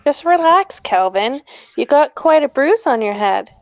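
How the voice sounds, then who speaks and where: A woman playing a smitten, soothing 1950s teenage girl in a script read-through, gentle and coy. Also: high voice, animated, measured pace, creaky, dry recording, lo-fi sound, full character voice. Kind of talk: amateur table read of a film script.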